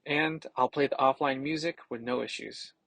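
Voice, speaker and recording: Male tech reviewer, casual explainer read for a product demo, mid-pitched with noticeable fry, recorded very dry. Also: normal speed, a bit bright, dry recording. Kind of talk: scripted product demo narration.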